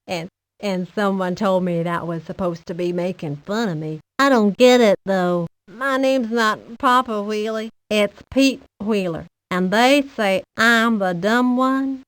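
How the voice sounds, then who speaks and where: Woman playing a slow-talking, dim country boy. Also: medium pitch, no echo, natural voice, low-quality recording. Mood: puzzled, earnest. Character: slow-witted, good-natured country boy under sixteen. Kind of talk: video game character voice-over.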